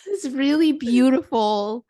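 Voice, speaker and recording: A woman's voice: high-pitched, slow, warm tone. A woman co-hosting a podcast, talking casually and reflectively with her friend. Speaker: podcast co-host.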